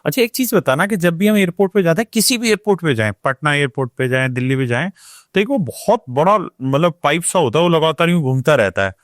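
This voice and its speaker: A male interviewer with a North Indian accent and a slightly raised pitch, recorded very dry. He talks casually and inquisitively, restating points in his own words as questions. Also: expressive, fast, no echo. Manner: inquisitive, rephrases answers and asks follow-up questions. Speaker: interviewer, host.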